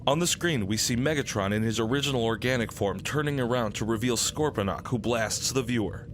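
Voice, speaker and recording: A man's voice: medium pitch, fairly quick, background noise. A man narrating a scripted radio drama, describing the action in the present tense. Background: music.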